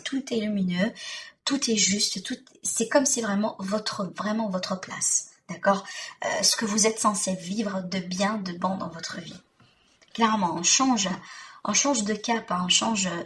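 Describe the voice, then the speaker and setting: A woman's voice: mid-range voice, fairly bright tone. A woman reading tarot for viewers informally, speaking directly to them with frequent check-in tags and emphatic, repetitive phrasing. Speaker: tarot reader, host. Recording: distant mic, faint background noise.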